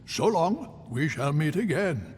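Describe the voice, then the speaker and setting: A stern, formal spirit leader. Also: male voice, a little high, big pitch swings, a little slow, darkish tone, breathy edge, exaggerated voice. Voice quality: formal, dry. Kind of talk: video game voice acting. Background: game music.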